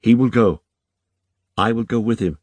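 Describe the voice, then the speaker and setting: A man's voice: lowish voice, normal speed, a little mellow, husky, dry recording, pitched above the performer's own voice. A man voicing an earnest, anxious young woman in a story, speaking plainly.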